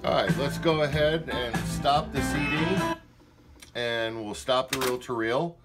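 A man's voice: medium pitch, fairly even intonation, unhurried. A man talking casually as he gives a hands-on product demonstration in a room at home. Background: music playing at times.

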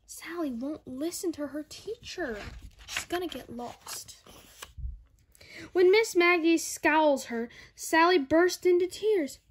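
A woman's voice: very high-pitched, slow, slight background noise. A young girl reading a children's picture book aloud, careful and word by word, with little asides to listeners. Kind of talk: read-aloud storybook narration with asides. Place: indoor room at home.

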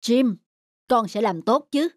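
A woman's voice: fairly high pitch, expressive, slow pace. A woman voicing a caring middle-aged father, patient and encouraging, in a children's cartoon. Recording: music behind the voice.

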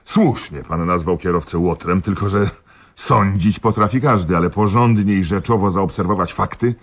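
A man's voice: deep voice, moderate pace. A man acting in a radio play as a dry, pedantic police commissioner, questioning methodically and insistently with a sardonic edge. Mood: dry reproach.

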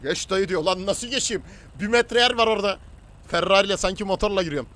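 A man's voice: high-pitched, quick pace, pushed and bright. A man chatting casually and slangily as he streams a roleplay game. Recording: clear car engine noise behind. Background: game car engines and street sounds.

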